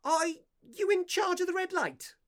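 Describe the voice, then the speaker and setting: A man acting as a curious, courteous Victorian gentleman who narrates a ghost story in a radio play, with theatrical, deliberate phrasing. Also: very high voice, lively intonation, dry recording, studio quality, exaggerated voice. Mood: courteous, puzzled. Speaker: narrator of an audio drama.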